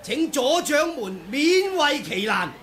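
A man's voice: extremely high pitch, big pitch swings, slow pace, fairly bright tone, slight background noise, heavily acted. An actor with a Hong Kong Cantonese accent playing a scornful male martial artist in an old radio drama, sneering and declamatory. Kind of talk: 1980s radio drama acting.

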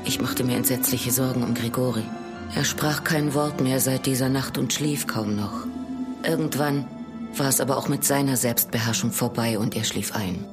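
An actress playing a grave, fearful young village woman in a horror radio drama, in a hushed, sorrowful manner. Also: extremely low pitch, fairly even intonation, normal speed. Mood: grave, haunted. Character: sorrowful young village woman recounting her family's doom. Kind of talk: German radio drama narration and dialogue. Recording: music behind.

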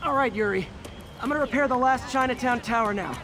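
A man's voice: extremely high pitch, fast, over music. A man with an American accent playing a young, eager, nervy masked superhero in a video game, quippy and mock-dramatic. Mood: joking. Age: young adult. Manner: quippy, mock-dramatic, stammers when flustered.

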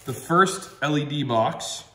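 A man's voice: fairly high pitch, takes it slowly, fairly bright tone. Upbeat man, slightly nasal, roomy sound. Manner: chatty, upbeat, thinks aloud with ums. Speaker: product reviewer. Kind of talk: casual product review. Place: room at home, at a table.

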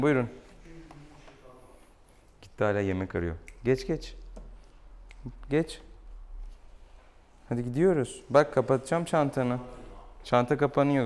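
A man's voice: mid-range voice, a little mellow. A young man, a veterinarian chatting casually and playfully coaxing a pet as he works. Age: young adult. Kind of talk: casual chat while treating an animal. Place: small tiled veterinary exam room. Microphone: camera a few steps away. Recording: noisy room, camera mic at distance.

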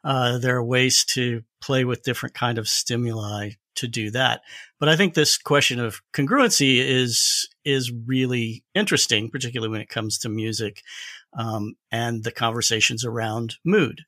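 A man's voice: medium pitch, steady tone, normal speed, pushed and bright, no echo, studio quality. A man speaking as a podcast guest, explaining things thoughtfully in a conversational expert discussion.

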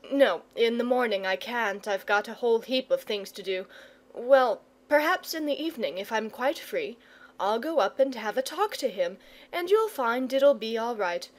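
A self-assured young country boy from a storybook, played by a woman. He is calm and bookish. His high voice stays quiet and matter-of-fact, as if he knows best.

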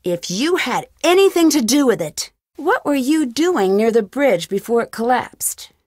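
Game heroine: curious young amateur sleuth, conversational and questioning, on the higher side, very dry recording. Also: female voice, big pitch swings, unhurried, a little mellow, no room sound. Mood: pointed. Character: inquisitive, friendly young female amateur sleuth. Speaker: game protagonist. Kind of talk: video game dialogue lines.

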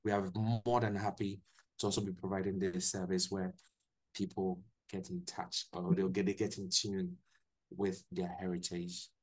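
A young man with a Ghanaian accent, a guest telling his story in a relaxed way on a video call from a small room, often restarting his sentences. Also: low voice, normal speed, poor audio. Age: young adult. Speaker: guest, English teacher. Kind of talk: casual video-call interview.

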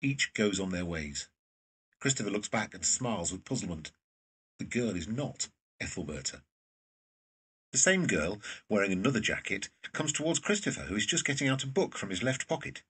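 A man's voice: lowish voice, very animated, moderate pace, fairly bright tone, husky, distant mic. A man reading scripted narration of scene directions for an audio drama.

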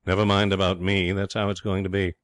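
A man's voice: low voice, flat delivery, brisk, no echo, natural voice. A man voicing an exasperated, grimly determined young man in a comic story, speaking in a curt, dry, firm manner. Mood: menacing. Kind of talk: storybook character voice in comic fiction reading.

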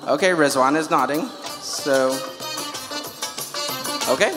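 A man's voice: fairly high pitch, slow pace, over music. A man hosting a charity fundraiser, addressing the audience informally through a handheld microphone and putting questions to them. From Pakistan (Karachi). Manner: conversational, engaging the audience with questions. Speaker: charity event host and fundraiser. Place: large auditorium hall on stage. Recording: echoey hall PA, long reverb.

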